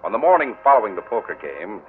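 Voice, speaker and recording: A man narrates in a dramatic old-time announcer manner, his fairly low voice swooping in pitch. The sound is thin and narrow like vintage radio, with faint music behind. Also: very animated, fast talker.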